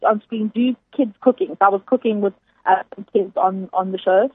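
Woman, South African accent, husky, fairly high-pitched, chatty and emphatic, casual interview, dry narrow phone-like sound. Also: moderate pace, no room sound. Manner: chatty, emphatic, laughs often. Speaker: chef, guest. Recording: dry phone-like radio line.

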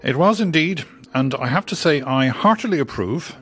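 A man's voice: on the high side, animated, normal speed, a bit bright, husky, faint music behind. Male radio co-presenter reading listener mail.

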